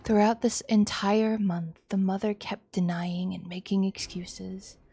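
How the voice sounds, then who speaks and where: A woman's voice: mid-range voice, fairly even intonation, unhurried, mellow, husky. A woman streamer reading a horror visual novel aloud as a dramatic narrator.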